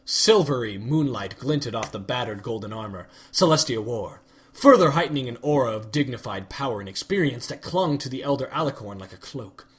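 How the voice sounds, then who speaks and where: A man narrates a fan-written story with an expressive, storytelling delivery. His mid-range voice rises and falls freely as he reads. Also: medium pitch, fairly quick. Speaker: reader. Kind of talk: reading fan fiction aloud.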